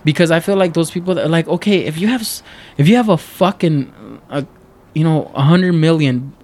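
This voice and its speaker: A man's voice: on the high side, brisk, darkish tone. A man who rambles through a casual podcast chat as a guest, swearing, with many fillers. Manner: rambling, profane, lots of fillers.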